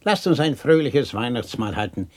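An actor playing a gentle, weary, kind-hearted father in a radio play, mild and a little hesitant, with a husky voice. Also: mid-range voice, very animated, normal speed, close to an everyday voice. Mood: earnest. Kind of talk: 1960s German radio play acting.

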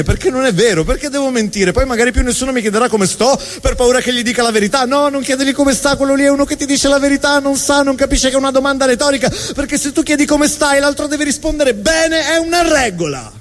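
A man performing a theatrical spoken monologue on stage, with emphatic, rhetorical delivery and deliberate repetition. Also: extremely high pitch. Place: live stage in a reverberant venue. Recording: reverberant hall, live stage sound.

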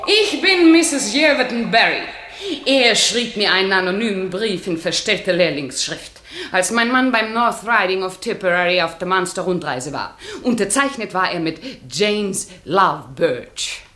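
A woman's voice: mid-range voice, lively intonation, a little slow, sharp tone. An actress playing a haughty, outraged upper-class lady, imperious and affected, in a 1960s German radio drama. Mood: offended.

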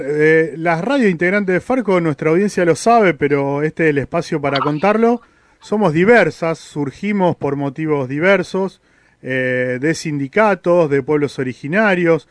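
A man's voice: a little high, moderate pace, dry recording. A man with an Argentine accent hosting a conversational radio interview. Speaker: radio host interviewing a guest.

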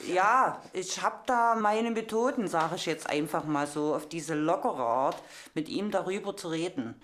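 A woman's voice: lowish voice, animated, unhurried, a little hiss or hum. A middle-aged woman giving a frank personal account in a colloquial, plain-spoken manner.

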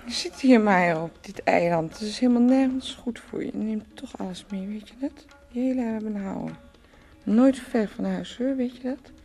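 A dreamy, teasing woman voiced by an actress in a Dutch radio play, at a medium pitch. She muses and rambles, circling back on her own phrases. Faint music sits behind her voice. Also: normal speed, close to an everyday voice. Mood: reproachful. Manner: musing, repetitive, breaks into soft laughs.

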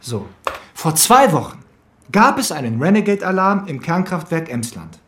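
A man's voice: fairly high pitch, sing-song, moderate pace, a bit bright. An actor playing a male police analyst in a radio crime drama, explaining things in a matter-of-fact, slightly sardonic way.

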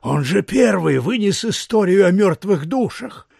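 Creaky, high-voiced provincial official. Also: male voice, very animated, measured pace, darkish tone, dry recording, studio quality, heavily acted. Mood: eager agreement. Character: gossipy, eager provincial male official. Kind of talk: audio drama acting.

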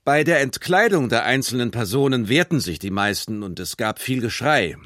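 An actor playing a truck driver giving a factual, deliberate statement in a documentary radio drama. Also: male voice, medium pitch, expressive, fairly bright tone, dry recording, studio quality, natural voice. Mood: factual, uneasy. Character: male Nazi-era truck driver giving matter-of-fact interrogation statement.

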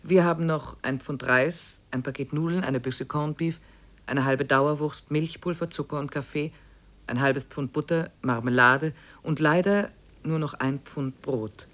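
An actress playing a weary trekker who narrates her expedition diary in measured, literary phrases for a 1950s radio play. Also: sits low, a bit bright. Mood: sober, matter-of-fact.

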